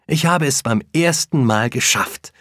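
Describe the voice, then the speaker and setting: An actor playing an Ecuadorian cook in his late thirties, telling his story in a plain, measured way. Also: mid-range voice, fairly bright tone, no echo, studio quality. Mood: sincere. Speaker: interviewee in textbook listening exercise. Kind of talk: scripted first-person account.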